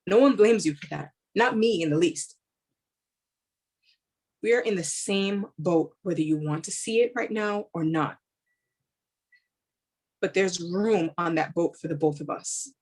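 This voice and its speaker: A woman's voice: lowish voice, unhurried, long pauses, darkish tone, husky. A woman playing a driven, weary policewoman. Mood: determined. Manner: earnest and blunt, speaks in short emphatic phrases. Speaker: police officer. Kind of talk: staged play reading.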